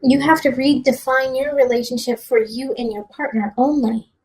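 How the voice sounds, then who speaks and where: Female tarot reader, higher-pitched, conversational and encouraging, emphatic when advising the listener. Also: steady tone, normal speed, darkish tone, low-quality recording. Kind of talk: conversational tarot reading with advice.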